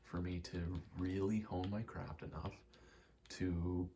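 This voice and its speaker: A young man giving a soft-spoken, halting, reflective ASMR ramble, telling personal stories in a hushed voice close to the mic. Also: low voice, flat delivery, a little hiss or hum. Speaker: ASMR creator.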